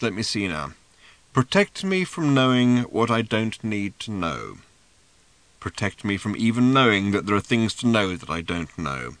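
A man voicing a cryptic, mournful old male seer who delivers slow, drawn-out, sing-song pronouncements. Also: fairly low pitch, a bit bright, no echo.

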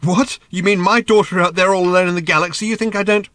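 A man's voice: medium pitch, big pitch swings, fairly quick, dry recording, pitched above the performer's own voice. A man reading a comic science fiction audiobook, voicing a world-weary, exasperated man who rants in sarcastic, flustered bursts. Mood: indignant sarcasm. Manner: bitingly sarcastic, hesitant, ranting in long flustered bursts.

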